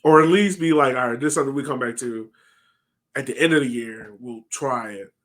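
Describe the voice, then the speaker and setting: A man's voice: medium pitch, a little fast, fairly bright tone. A man in his thirties talking casually through sports analysis as a podcast co-host on a video call from a room at home.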